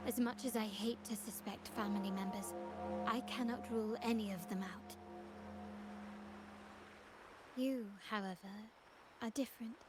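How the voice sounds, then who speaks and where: An actress playing a formal, cool and calculating heiress of a powerful family in a video game, speaking in measured, composed lines. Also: female voice, fairly high pitch, steady tone, music in the background, close to an everyday voice. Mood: formal, assured.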